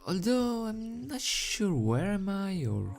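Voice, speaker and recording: A man's voice: high-pitched, big pitch swings, takes it slowly, creaky, voice pushed up, exaggerated voice. A man voicing a confused, injured pegasus stallion with a hesitant, bewildered manner in a fan story reading.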